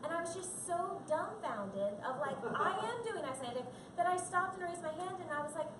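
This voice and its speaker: A high-voiced woman talks earnestly and chattily as a panel guest, her words full of fillers. She sounds distant from the mic, and the room rings around her. Steady background noise from the shop sits under her voice. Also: brisk, echoey room. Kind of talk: live panel talk. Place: coffee and comics shop event.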